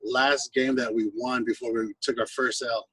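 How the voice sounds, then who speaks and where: A man's voice: mid-range voice, level tone, normal speed, fairly bright tone, slightly rough, no room sound, distant mic. An American man from the West Coast and Hawaii, a guest telling anecdotes in casual, laid-back, slangy talk. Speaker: guest, singer-songwriter musician. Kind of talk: casual chat, anecdotal storytelling.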